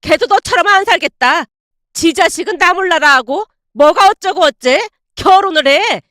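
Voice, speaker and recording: A woman voicing a furious middle-aged mother-in-law who scolds loudly and scornfully in a dramatized story. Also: very high voice, animated, normal speed, dry recording, clean studio sound, voice pushed up, full character voice. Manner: shouting, scolding, contemptuous.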